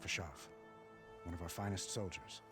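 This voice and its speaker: A man's voice: sits low, normal speed, over music, natural voice. An actor playing a gracious, earnest young king in a video game, speaking formally and courteously. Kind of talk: dramatic video game dialogue.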